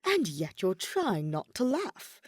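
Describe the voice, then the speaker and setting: A woman voicing a grave, sardonic young male student with a deadpan, sarcastic manner in an audiobook. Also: mid-range voice, takes it slowly, husky, no echo, studio quality, exaggerated voice. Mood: dry amusement. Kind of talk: audiobook character acting.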